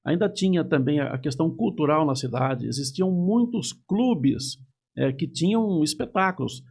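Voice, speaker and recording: A middle-aged man from Campinas, a TV news anchor reminiscing in a measured, casual interview. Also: a little high, lively intonation, vocal fry, a little mellow, husky. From Campinas, Brazil. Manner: reminiscing, measured, with frequent restarts.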